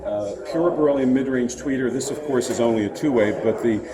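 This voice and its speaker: A man's voice: medium pitch, a little fast, rough recording. A man representing a company gives an unscripted, technical product demonstration, often hesitating as he explains. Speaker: loudspeaker company representative presenting a product line. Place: indoor trade show floor. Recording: busy crowd noise around the voice. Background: crowd chatter.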